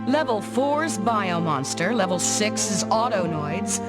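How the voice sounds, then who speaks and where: A woman's voice: mid-range voice, lively intonation, slow pace. An actress voicing a tough, blunt, no-nonsense female soldier in an anime-style video game. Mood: wry. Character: tough, scolding female soldier in mech squad. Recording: loud music under the dialogue.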